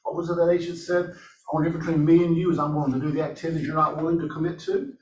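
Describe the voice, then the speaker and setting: A man's voice: a little high, level tone, racing. A man leading a team meeting in a meeting room, upbeat and encouraging, asking the room questions. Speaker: real estate office leader running a team meeting. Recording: echoey room, from a distance, faint noise.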